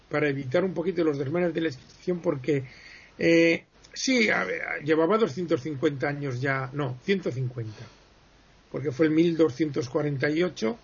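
A man explains history in a relaxed radio interview. He digresses and pauses often, correcting himself as he goes. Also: fairly high pitch, gravelly, slightly rough. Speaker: history guest speaker. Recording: muffled lo-fi broadcast sound.

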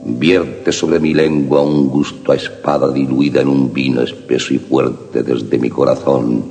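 Deep gravelly man reciting sonnets, slow and solemn, with long reverb and faint harp underneath. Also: flat delivery, dark tone, soft background music. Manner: slow, solemn, measured delivery. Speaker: poetry reciter.

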